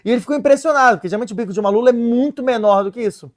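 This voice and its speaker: A woman presenting a casual lesson in an animated, joking way, stopping to read and translate sources. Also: fairly high pitch, racing. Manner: animated, playful, joking asides; reads and translates sources aloud. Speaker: religious video presenter.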